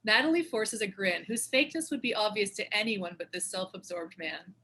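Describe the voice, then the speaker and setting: A woman's voice: mid-range voice, brisk, bright, forceful tone, breathy edge. A woman reading screen directions as scripted narration at a table read.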